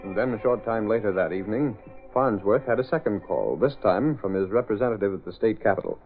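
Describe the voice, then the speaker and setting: An actor playing the narrator of an old radio serial, setting scenes in sober scripted prose. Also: male voice, lowish voice, expressive, brisk. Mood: measured. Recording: muffled old radio, faint music.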